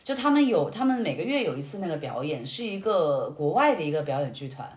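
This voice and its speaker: A young woman chatting casually and telling personal stories in an animated way, in short phrases. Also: sits low, fast talker. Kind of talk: casual conversational storytelling. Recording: roomy, echoey sound.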